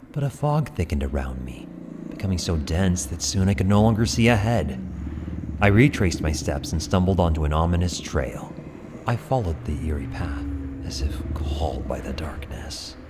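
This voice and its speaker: A man playing a brooding, manipulative young gang leader, narrating his dark story in a cold, deliberate, dramatic way. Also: low-pitched, a little hiss or hum. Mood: ominous. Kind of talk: scripted dramatic first-person narration. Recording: long reverb, loud music bed.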